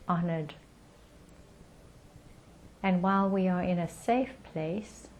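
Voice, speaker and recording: Meditation guide, female: lowish, very slow, soothing delivery, faint noise behind the voice. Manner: calm, soothing, with gentle pauses.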